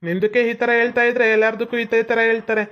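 A man's voice: extremely high pitch, fairly even intonation, racing, clear, resonant tone, highs cut off. A man playing a cheeky young man who speaks broken Kannada with odd phrasing, in a comedy skit. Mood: arguing.

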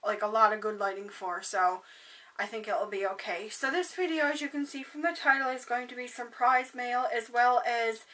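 A young woman with a hoarse, tired voice chatting casually to camera in her bedroom, rambling with lots of fillers. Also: a little high, normal speed, a bit bright, distant mic. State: hoarse, voice going. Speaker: beauty vlogger. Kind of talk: casual haul chat to camera. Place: bedroom, indoors. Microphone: webcam at desk distance.

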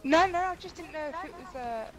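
A woman's voice: high-pitched, expressive, fairly quick, noisy background. A woman on game voice comms asking her teammates questions. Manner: curious, asks questions. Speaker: gaming group player. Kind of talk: casual chat over game voice comms.

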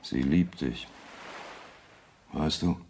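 An actor playing a middle-aged, world-weary rival in a radio drama, speaking in a measured, coolly ironic manner. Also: male voice, a bass voice, darkish tone, a little hiss or hum, full character voice. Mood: wistful, resigned. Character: rich older rival, coolly resolute and world-weary man. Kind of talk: German radio drama acting.

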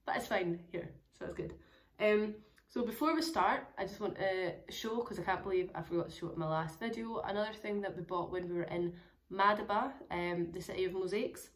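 A young woman with a hoarse, crackly voice, chatting casually to camera about her travels, rambling with lots of fillers. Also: medium pitch, fairly quick, hardly any pauses. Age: young adult. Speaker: travel vlogger. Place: small room indoors at home.

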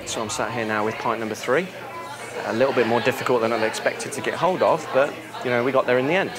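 A man's voice: medium pitch, fast talker. A man in his thirties with a British accent, chatting casually as the presenter of a prank in a pub. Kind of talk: casual hidden-camera prank narration and chat. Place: busy pub. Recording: loud pub crowd and music.

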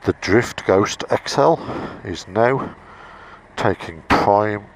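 A man with an English accent and a very deep voice, chattily reviewing a camera for his motorbike vlog through a helmet microphone. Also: animated, takes it slowly, a little hiss or hum. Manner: chatty, explains as he goes. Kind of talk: casual product review vlog. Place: home and garden, then outdoors by his motorbike. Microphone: helmet microphone of action camera.

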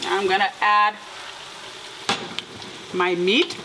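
A woman from Liberia giving a relaxed, step-by-step home cooking demonstration with light laughs. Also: medium pitch, drawn-out words. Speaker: cooking vlogger. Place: home kitchen. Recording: clear kitchen cooking noise. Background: frying and sizzling food, stirring.

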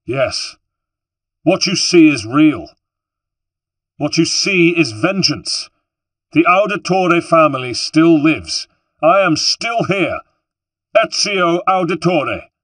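A vengeful young assassin, voiced by a male narrator speaks coldly and with menace. The voice is deep and husky, and it sits dry and close to the mic. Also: normal speed, dry recording. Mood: proclaiming. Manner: hissing, cold, menacing.

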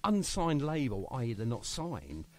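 A man's voice: medium pitch, wildly swooping pitch, a little slow, a little mellow. A man hosting a radio show with a British accent, chatting casually and jokily with a guest, rambling with lots of fillers. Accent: British English. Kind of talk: casual radio interview chat.

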